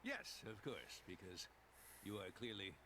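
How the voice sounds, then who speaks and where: A man's voice: fairly low pitch, very animated, measured pace, pushed and bright, heavily acted. A man voicing a fussy, scheming older buzzard businessman in a cartoon, dry and disdainful. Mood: dry unease. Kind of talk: animated cartoon voice acting.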